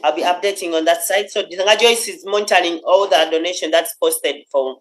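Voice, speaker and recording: A mid-pitched participant on a video call gives short, informative updates. They explain who is receiving donations and who is keeping track of the list. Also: brisk. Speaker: donation treasurer. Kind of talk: online meeting update.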